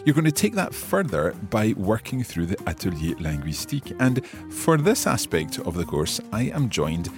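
A man hosting a podcast as a French teacher, explaining a course in a measured, explanatory way and slipping between English and French. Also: fairly low pitch, big pitch swings, music in the background. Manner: measured, explanatory, with some restarts.

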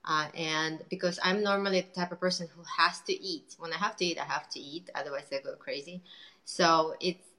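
Bright, chatty woman entrepreneur. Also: low voice, fairly even intonation, fairly quick, poor audio. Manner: chatty, many fillers and restarts. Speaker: guest entrepreneur. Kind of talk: casual interview chat.

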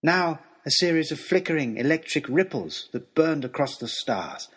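A man playing an earnest, lovestruck gentleman adventurer who tells his journey in formal, old-fashioned, expressive storytelling. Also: a little high, moderate pace, husky, exaggerated voice. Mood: awed. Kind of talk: dramatised first-person storytelling, as a letter to a lady.

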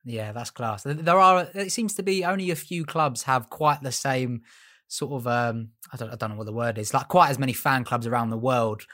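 A man hosting a podcast, asking questions in a relaxed, easygoing way. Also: medium pitch, normal speed. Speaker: podcast host. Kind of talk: casual podcast interview.

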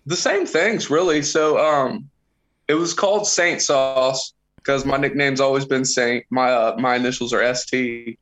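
A man's voice: medium pitch, unhurried, fairly bright tone. A man in his thirties, a podcast guest telling crude, joking stories with lots of swearing in casual chat. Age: 30s. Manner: profane, joking storyteller.

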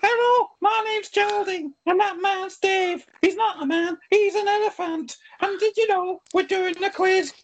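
Very high-pitched grumpy giraffe. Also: female voice, steady tone, measured pace, few pauses. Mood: announcing. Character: blunt, grumpy female giraffe sidekick.

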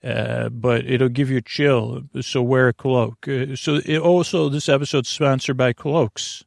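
Guy doing a rambly sleep podcast, gravelly creaky voice, mumbly and halting, really dry close sound. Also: medium pitch, steady tone, darkish tone, no room sound. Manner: meandering, mumbling, digressive, halting. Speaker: podcast host and bedtime storyteller.

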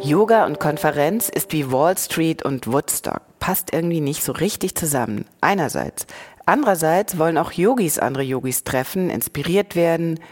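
A woman's voice: low-pitched, expressive, moderate pace, darkish tone, faint music behind. A woman hosting a podcast, asking direct, teasing questions. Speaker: podcast host. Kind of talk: interview.

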